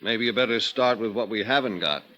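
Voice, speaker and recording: An actor playing a dry, practical police sergeant in a 1950s radio drama. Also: male voice, on the low side, fairly quick, bright, forceful tone, highs cut off.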